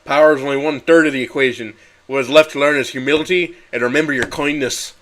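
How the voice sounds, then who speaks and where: A man's voice: mid-range voice, steady tone, quick pace, a bit bright, slight background noise, lightly acted, low-quality recording. A man doing an Irish-accented dinosaur boxer dad. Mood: fatherly. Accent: Irish, put on. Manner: folksy fatherly advice. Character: big male Megalosaur boxer, a kind proud father.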